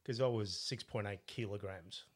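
A middle-aged man born in Italy, with an Australian accent, co-hosting a podcast and chatting casually as a relaxed storyteller. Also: on the low side, moderate pace, breathy edge. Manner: relaxed storyteller, laughs at own stories. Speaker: podcast co-host, coach. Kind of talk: casual conversational chat.

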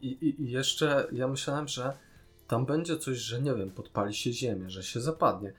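A man's voice: medium pitch, normal speed. A man with a Polish accent and a mid-range voice talks as a podcast co-host. His delivery is casual and critical, with an exasperated, disbelieving edge, and faint music sits behind him. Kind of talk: casual critical discussion of a series.

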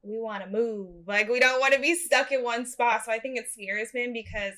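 A young woman giving blunt dating advice in casual chat. Her delivery is animated, jumping from loud emphasis to quiet asides. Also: a little high, moderate pace. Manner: blunt, animated, big swings in loudness. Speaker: podcast co-host.

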